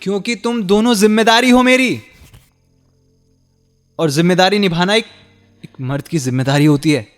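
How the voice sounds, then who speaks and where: Actor as a guarded, wounded husband, reverberant. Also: male voice, high-pitched, close to an everyday voice. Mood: hurt, resentful. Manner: halting, guarded, emotionally strained. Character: wounded, withdrawn young husband hiding resentment behind duty. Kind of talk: Hindi radio drama acting. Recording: long hall reverb, faint music behind.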